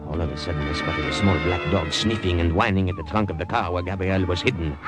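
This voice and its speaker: A man's voice: low voice, fairly even intonation, fast, over loud music, lightly acted. A man acting a suave, wry poet spy and narrator in a 1950s-style radio drama, with a courtly, slightly hesitant manner. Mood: wry narration. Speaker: narrator and lead character. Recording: noisy old-time radio recording.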